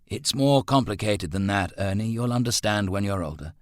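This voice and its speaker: A man acts out a gentlemanly father in a period storybook. His voice sits low and close. He speaks in clipped old-fashioned phrases, stern but patient, and easily flustered. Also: expressive, moderate pace, no room sound, natural voice.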